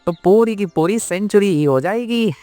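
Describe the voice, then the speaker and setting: A man plays a cheeky, timid police constable in a cartoon village tale. He speaks slowly in a fairly high male voice. The sound is dry, with faint music. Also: mellow, no echo. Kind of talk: children's cartoon moral story acting.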